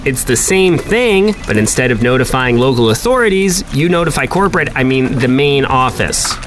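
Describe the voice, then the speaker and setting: An actor playing a smooth, falsely cheerful office supervisor who brushes off questions. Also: male voice, mid-range voice, expressive, normal speed, a bit bright, slight background noise, close to an everyday voice. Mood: breezy. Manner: breezy and dismissive, brushing off questions. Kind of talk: audio drama acting.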